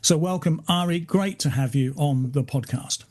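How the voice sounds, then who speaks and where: A man hosting a podcast, reading a formal, measured scripted introduction. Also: on the high side, a little mellow. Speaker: podcast host.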